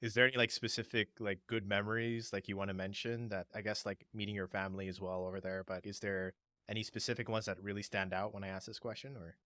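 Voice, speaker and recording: A man's voice: fairly low pitch, little pitch movement, quick pace, pushed and bright, dry recording, clean studio sound. A man hosting an interview, asking casual questions with informal, tentative phrasing. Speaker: interviewer, host.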